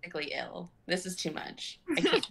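A woman's voice: on the low side, wildly swooping pitch, a little fast, vocal fry, heavy background noise. A woman in her twenties bantering casually with friends on a livestream video call. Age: 20s. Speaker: regular performer on the stream. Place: indoor room on a video call.

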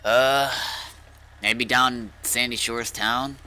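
A man's voice: mid-range voice, fairly even intonation, very slow, sharp tone, clean tone. A man talking casually in video game roleplay, in short, slightly hesitant phrases. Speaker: gamer, roleplay companion.

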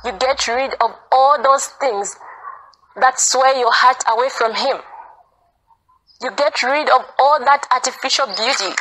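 A woman's voice: high-pitched, a little slow, pushed and bright, poor audio. A woman giving an informal, insistent sermon, repeating phrases for emphasis. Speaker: preacher. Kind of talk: informal sermon, earnest exhortation.

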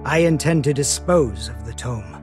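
Man playing a soft-spoken, brooding young wizard. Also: mid-range voice, animated, close to an everyday voice. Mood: grave, earnest. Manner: measured, hesitant, formally polite. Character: soft-spoken, brooding young male wizard of great power, formal and awkward. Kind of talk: dramatic English anime-style game dub. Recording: music behind the voice.

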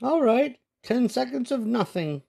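Older guy playing imaginative restless storybook kids, boys, really expressive, up and down all over, bit gravelly. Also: very high-pitched, a little slow, exaggerated voice. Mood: bossy, earnest. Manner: expressive, dramatic. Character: pair of restless, imaginative young cartoon boys. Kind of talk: animated storybook read-aloud with character voices.

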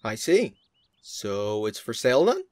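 A man voicing a courteous, brisk and sly railway owner. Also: fairly low pitch, wildly swooping pitch, a little mellow, no room sound. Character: polite scheming male railway owner.

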